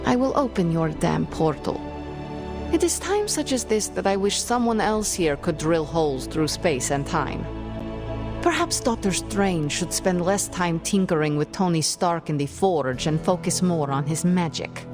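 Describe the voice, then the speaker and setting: A woman's voice: on the low side, lively intonation. A woman voicing a bitter, haughty young sorceress in a video game, guarded and formal in her speech. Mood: bitter, disdainful. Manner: formal, disdainful, deliberate. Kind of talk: scripted game dialogue. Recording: music behind the voice.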